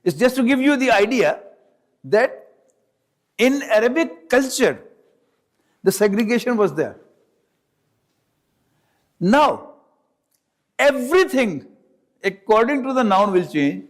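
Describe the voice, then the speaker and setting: Man teaching a grammar class in english that isn't his first language, talks slow and high, repeats stuff, keeps asking if you get it. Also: very animated. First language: not English; Urdu is his second language. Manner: slow, deliberate, repeats points and checks understanding. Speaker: Arabic teacher. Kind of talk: classroom lecture.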